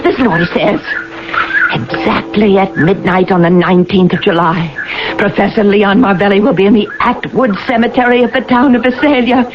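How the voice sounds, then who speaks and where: An actress playing an earnest, excitable townswoman full of desperate hope in a radio drama, emphatic and pleading. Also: mid-range voice, moderate pace, music in the background, lightly acted. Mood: hopeful, pleading. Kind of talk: 1980s radio drama acting. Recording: muffled, noisy old radio broadcast.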